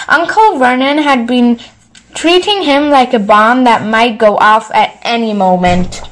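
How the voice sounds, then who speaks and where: A woman's voice: high voice, fairly bright tone, rough recording. A woman storyteller narrating a bedtime story, reading it aloud from a book. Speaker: storyteller, channel host.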